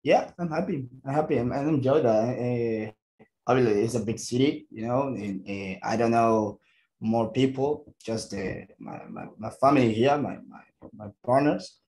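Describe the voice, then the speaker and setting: A man in his twenties with a Mexican Spanish accent, a football player answering press questions in halting English, often stopping and restarting as he searches for words. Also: mid-range voice, darkish tone, slight background noise, rough recording.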